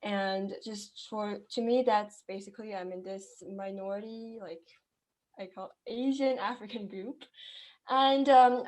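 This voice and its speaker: A woman's voice: a little high, a little mellow. A young woman from China, raised in Kenya, answering interview questions in a casual, chatty way with many fillers and restarts. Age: young adult. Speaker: guest entrepreneur.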